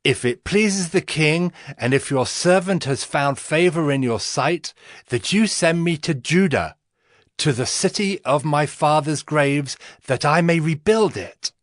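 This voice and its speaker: A man's voice: fairly high pitch, a little slow, no echo, studio quality, lightly acted. A man playing a devout, earnest cupbearer in a dramatized audio Bible, solemn and reverent in his delivery. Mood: earnest, respectful. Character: Nehemiah, devout earnest cupbearer to an ancient king. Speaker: narrating lead in dramatized scripture. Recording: music behind the voice.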